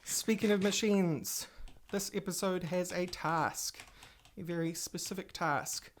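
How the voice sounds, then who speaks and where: Low-voiced woman narrating her game. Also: a little hiss or hum. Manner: chatty, rambling, talks to viewers while playing. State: slightly stuffy with a cold. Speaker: gaming channel host. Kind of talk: casual Let's Play commentary.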